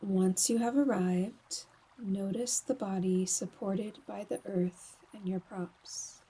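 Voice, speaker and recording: A woman guiding a slow meditation in a soft, breathy voice, often near a whisper, calm and soothing, with long pauses. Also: mid-range voice, low-quality recording. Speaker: yoga nidra guide.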